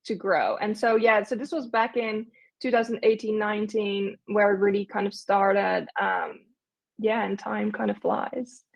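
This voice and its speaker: A woman's voice: mid-range voice, little pitch movement, a little slow, gravelly. A young woman from the Netherlands, chatting casually as an interview guest, with lots of fillers and restarts. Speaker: guest, agency owner.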